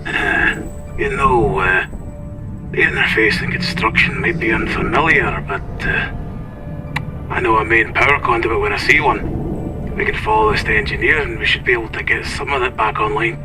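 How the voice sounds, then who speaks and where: Guy doing a starship engineer for a tabletop game, lowish voice, matter of fact and sure of himself. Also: normal speed, over music, lightly acted. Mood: assured, dry. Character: confident practical male starship engineer, dry. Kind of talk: tabletop roleplay character voice.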